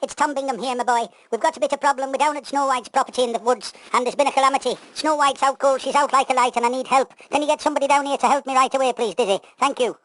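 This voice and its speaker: A woman's voice: high voice, very fast, hardly any pauses, pitched above the performer's own voice, natural voice, rough recording. A woman voicing a flustered, kindly male doctor in a pantomime story, exclamatory and repeating himself. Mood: urgent.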